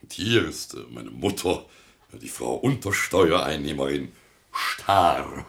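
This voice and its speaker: Pompous small-town mayor, male, very low gravelly voice, comic radio play. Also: lively intonation, takes it slowly, a bit bright, exaggerated voice. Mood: ceremonious, proud. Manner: pompous, ceremonious, hesitant with fillers. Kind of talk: comic radio drama acting.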